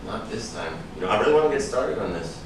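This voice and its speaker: An actor playing a proud, defensive, boozy screenwriter in a theatrical staged reading, speaking in a sardonic way. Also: male voice, fairly low pitch, sing-song, fast talker. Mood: smooth, evasive. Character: cynical, boozy, washed-up male screenwriter-director, proud and defensive. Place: indoor room at a live staged reading. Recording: echoey room, distant mic, noisy background hiss.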